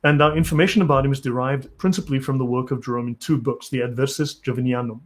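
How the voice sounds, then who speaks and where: A man's voice: medium pitch, steady tone, fast talker. A South African man hosting a live stream, who reads from articles and answers chat comments bluntly and impatiently. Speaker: channel host.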